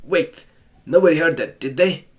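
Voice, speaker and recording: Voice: mid-range voice, moderate pace. Wry let's-play host joking with a game. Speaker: gaming channel host playing a visual novel. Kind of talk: casual let's-play commentary.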